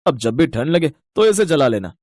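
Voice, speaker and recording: A man acts a humble working man in a cartoon family tale. The voice is slightly high and earnestly explanatory, in a dry recording. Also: very animated, moderate pace, a little mellow, no echo. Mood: caring.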